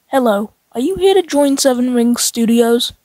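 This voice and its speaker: A woman's voice: a little high, expressive, moderate pace, dark tone, no echo. A woman voicing a proud, blunt protective hero in scripted fighting game lines.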